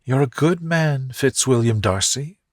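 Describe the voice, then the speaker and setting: A man voicing a tender, thoughtful young gentlewoman in love, murmuring softly for a romantic bedtime story. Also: mid-range voice, expressive, unhurried, dry recording, studio quality, voice pushed up, close to an everyday voice. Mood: loving.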